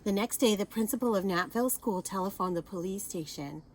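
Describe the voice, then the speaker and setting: A woman with a mid-range voice reads a children's picture book aloud like a teacher. She is expressive and turns to her young listeners with small asides and questions. There is faint background noise in the recording. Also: medium pitch, brisk.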